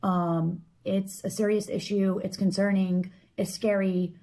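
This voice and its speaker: A woman giving a casual, explanatory monologue, earnest and opinionated, often halting and leaning on filler words. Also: medium pitch, level tone, warm tone.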